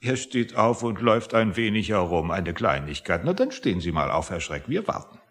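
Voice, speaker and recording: A man's voice: deep voice, big pitch swings, normal speed, a bit bright. An actor playing a sly, touchy official in a German radio play, oily in his politeness and given to emphatic repetition. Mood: grudging, sardonic. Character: sly, touchy male official who cannot stand up.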